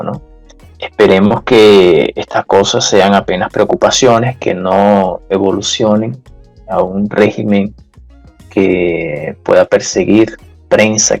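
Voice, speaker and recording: A man hosting an interview podcast, speaking in a measured way and pausing to search for words. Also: lowish voice, vocal fry, dry recording. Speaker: podcast host.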